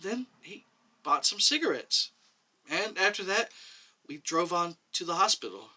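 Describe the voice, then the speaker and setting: A man reading a story and voicing a meek, defensive woman medic who answers quietly and hesitantly. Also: sits high, big pitch swings, a little slow, heavily acted. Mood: plainly explaining. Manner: quiet, hesitant, plain-spoken. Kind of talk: dramatic short story reading.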